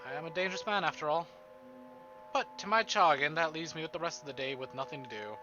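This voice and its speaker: A man streaming a visual novel, moving between casual chat and expressive, theatrical story reading. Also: a little high, quick pace. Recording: dull band-limited stream, music behind.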